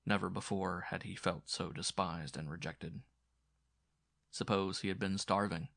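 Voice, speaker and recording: Guy reading a horror audiobook, dramatic, lowish creaky voice, crisp dry booth sound. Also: steady tone, a little slow, a bit bright, dry recording. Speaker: audiobook narrator. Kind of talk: dramatic scripted narration of a horror story.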